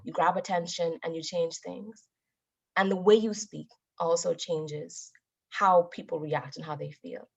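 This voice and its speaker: A woman giving a motivational public talk as a guest speaker, with a deliberate, emphatic, instructive delivery. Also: on the low side, steady tone, vocal fry, slightly rough. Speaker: guest speaker, Toastmasters member. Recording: dull, lo-fi audio.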